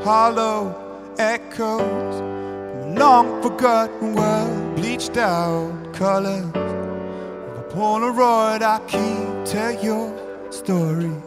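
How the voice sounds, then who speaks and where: Woman singing slowly over loud guitar. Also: medium pitch. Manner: slow, drawn-out singing. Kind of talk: singing a pop song.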